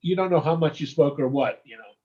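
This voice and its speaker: A man from the United States in a casual interview, rambling warmly and tagging his sentences with "okay?" and "you know?". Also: fairly high pitch, fast, lo-fi sound.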